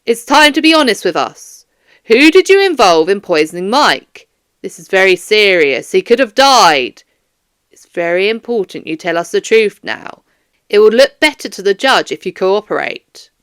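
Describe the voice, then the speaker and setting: Woman acting a stern, forceful police interrogator. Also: medium pitch, very animated, clean tone, dry recording, clean studio sound, voice pushed down. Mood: stern, pressing. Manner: firm, measured, official. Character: stern, formal police detective interrogating a suspect.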